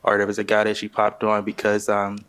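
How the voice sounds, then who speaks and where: A soft-spoken man speaking on a dating show reunion panel, hesitant with many fillers. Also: on the low side, monotone, a little fast, smooth.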